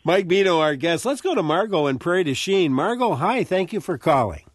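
A man hosting a call-in radio show, reacting warmly to his guest and callers. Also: high voice, sing-song, fairly quick, dry recording. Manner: friendly, reactive.

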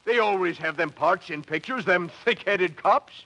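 An actor playing a genial Irish-American beat cop who delivers jokes slyly, in 1940s radio comedy style. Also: male voice, high-pitched, normal speed, pushed and bright, low-quality recording. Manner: sly, joking.

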